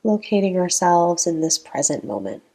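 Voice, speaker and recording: A woman leading a guided meditation in a calm, gentle, unhurried manner with long pauses between phrases. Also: fairly low pitch, creaky.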